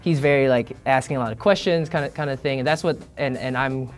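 A young man who leads a band answers interview questions, searching for his words with plenty of fillers. His voice sits at a middle pitch, and faint music plays under him. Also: quick pace. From born in Singapore, raised in Minnesota. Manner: hesitant, many fillers and restarts. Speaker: band leader, guest. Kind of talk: casual interview.

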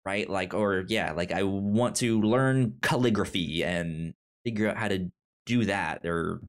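A man hosting a casual podcast, rambling with stammered, halting restarts. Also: low-pitched. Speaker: podcast host.

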